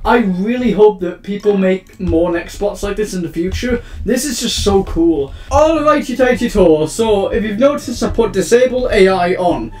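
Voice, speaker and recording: An excitable young man talks over his gameplay in a high, light voice, rambling and breaking into panicked exclamations. His mic sits a little far off in a roomy space, and soft guitar music plays underneath. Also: moderate pace, few pauses. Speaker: gaming YouTuber. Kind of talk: casual gameplay commentary.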